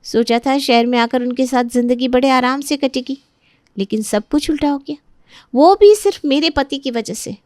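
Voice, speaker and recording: A woman acts out a young pregnant daughter-in-law in a family storytelling drama. Her voice is high and recorded very dry. She speaks as a resentful, gossiping in-law nursing her grievances. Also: normal speed, darkish tone, no room sound, close to an everyday voice. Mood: gossiping, aggrieved.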